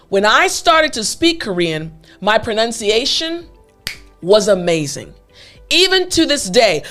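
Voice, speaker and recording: High-voiced, emphatic woman teacher. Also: a bit bright. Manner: emphatic, deliberate enunciation with check-in questions. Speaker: English teacher with an online academy. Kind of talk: upbeat scripted lesson talking to viewers.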